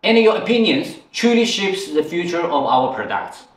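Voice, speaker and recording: A man's voice: high-pitched, sing-song, moderate pace. A man in his thirties, a company founder, speaking formally and sincerely to camera in an indoor showroom on a lapel mic. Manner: sincere, formal appeal to customers. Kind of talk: direct-to-camera company address. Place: indoor showroom with tool displays. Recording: roomy, slightly distant sound.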